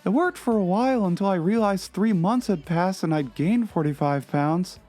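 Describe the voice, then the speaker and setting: A woman giving a theatrical, expressive dramatic reading of a confessional journal. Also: fairly low pitch, normal speed. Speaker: reader in a dramatic reading of a fictional blog. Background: background music.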